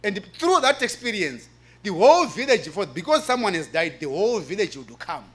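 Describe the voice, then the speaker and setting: A high-pitched male presenter speaks at a religious forum. He explains in a preacherly way, leaning on repeated phrases to stress his points. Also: big pitch swings, normal speed.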